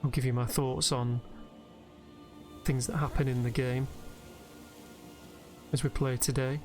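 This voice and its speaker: A man narrating a guided meditation over gameplay in a gentle, unhurried, soothing way with pauses. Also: medium pitch, level tone. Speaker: guided relaxation host and gamer. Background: soft background music from a video game.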